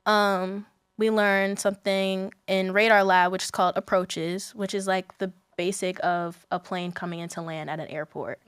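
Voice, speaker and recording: A woman's voice: mid-range voice, level tone, normal speed, clear, resonant tone, dry recording, clean studio sound. A young adult woman speaking as a student guest in a casual podcast interview, informal and candid in the way she talks.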